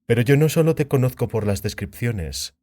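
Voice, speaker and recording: A man's voice: medium pitch, lively intonation, moderate pace, dark tone, close to an everyday voice. A man voicing a venomous, mocking half-elf thug in a dramatized fantasy audiobook. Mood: gloating menace. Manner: menacing, mocking.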